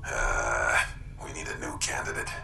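An actor playing a secretive, intense doctor in a video game, hushed, breathy and urgent. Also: male voice, a bass voice, monotone, measured pace, slight background noise, heavily acted. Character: secretive, intense male doctor seeking a cure.

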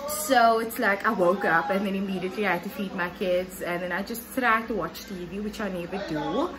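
A woman's voice: medium pitch, brisk, a crowd in the background, low-quality recording. A young adult woman with a South African accent, chatting informally as she vlogs from a room at home. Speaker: vlogger and mother. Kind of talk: casual vlog chat. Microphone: phone at arm's length.

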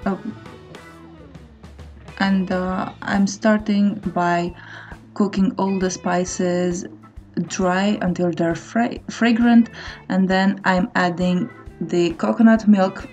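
Slow-paced woman narrating a recipe. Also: medium pitch, fairly even intonation, a little mellow, highs cut off. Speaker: cooking vlogger. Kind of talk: casual recipe voice-over. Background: faint guitar music.

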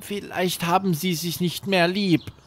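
Young boy , acted by a man: high, slow, simple earnest talk, a little worried, bedtime audio story. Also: fairly even intonation.

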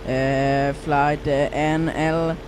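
A man's voice: a little high, little pitch movement, drawn-out words, background noise. A young man from Sweden with a Swedish accent, rambling through casual gameplay commentary with many fillers and restarts. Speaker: gaming YouTuber.

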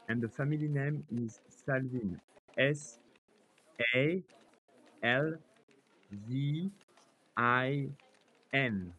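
A man's voice: medium pitch, a bit bright, highs cut off. A middle-aged man, a native French speaker with a French accent, presenting and talking casually in a demo. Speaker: presenter and caller. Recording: clear background noise.

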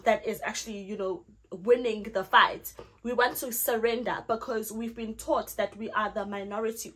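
A woman's voice: fairly high pitch, slightly rough, low-quality recording. A young South African woman, a Zulu speaker, telling a storytime vlog story and acting out her own lines. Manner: animated, acts out her own lines in the retelling. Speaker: vlogger.

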